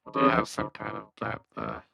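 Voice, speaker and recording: A man giving an unscripted technical tutorial, halting as he thinks aloud. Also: on the low side, sing-song, vocal fry, highs cut off, over music. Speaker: audio tutorial presenter.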